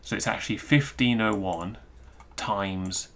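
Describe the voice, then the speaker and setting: A man giving an informal technical screencast walkthrough, thinking aloud in a halting, self-interrupting way. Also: fairly low pitch, gravelly, fairly bright tone. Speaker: presenter explaining technical material.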